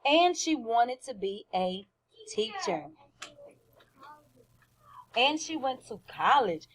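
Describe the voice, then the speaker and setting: A woman teaching first graders through a read-aloud, speaking deliberately and with emphasis, often questioning the children. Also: a little high, big pitch swings, rough recording.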